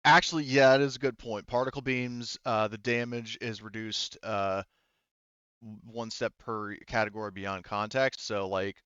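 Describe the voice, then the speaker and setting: Man, game master, lowish pitch, halting casual explanations, dry slightly muffled recording. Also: fairly even intonation, fairly bright tone, smooth, dry recording. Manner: halting, explanatory, repeats himself. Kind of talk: casual tabletop game refereeing.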